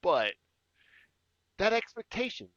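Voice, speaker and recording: A man co-hosting a music reaction show, chatting casually with an emphatic, halting delivery. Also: high voice, sing-song, a bit bright, no room sound, dull audio.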